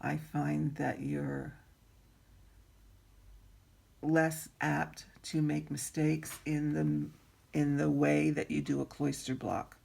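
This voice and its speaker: A woman with a raspy voice teaching needlework in an easygoing tutorial, pausing often with ums and clearing her throat. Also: low voice, flat delivery, a little mellow. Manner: slow, unhurried, frequent ums and throat clearing. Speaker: embroidery teacher. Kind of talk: instructional craft tutorial.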